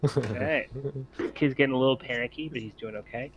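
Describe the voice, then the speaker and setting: A man acting as game master, casually narrating and explaining rules with a hesitant, halting delivery. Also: medium pitch.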